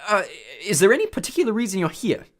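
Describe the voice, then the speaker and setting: A woman's voice: medium pitch, sing-song, pitched above the performer's own voice. Woman playing a nervous schoolboy wizard. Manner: nervous, hushed, hurried.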